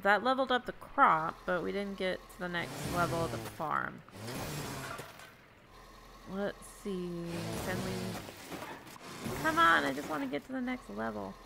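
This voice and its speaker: A woman's voice: medium pitch, slow, creaky, pauses often, music in the background. A young woman streaming a farming game over a headset, chatting casually and giggling as she thinks aloud. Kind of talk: casual gameplay chat. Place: home room indoors.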